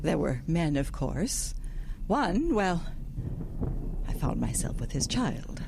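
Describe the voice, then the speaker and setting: A haunted churchwoman in a horror audio drama. Her fairly low voice moves widely in pitch, hesitant and guarded but pressing with urgency. The track carries noise. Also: big pitch swings, slow pace, creaky, exaggerated voice. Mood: ashamed, guarded. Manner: hesitant, faltering, breaking into urgent pleas. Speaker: radio drama actor.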